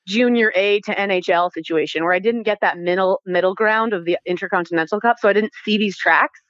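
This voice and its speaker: A Canadian-accented woman telling stories casually as an interview guest, animated and informal, acting out bits of dialogue as she goes. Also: mid-range voice, a little fast, dry recording, muffled recording. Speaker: interview guest, former Olympic athlete. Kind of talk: casual conversational storytelling.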